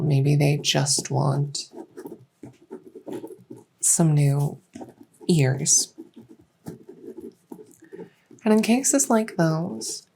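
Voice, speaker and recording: A woman playing a small, encouraging insect-folk clinician in an ASMR medical roleplay, soft-spoken, hesitant and matter-of-fact. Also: deep voice, creaky, slight background noise, full character voice, poor audio. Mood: calm, explanatory.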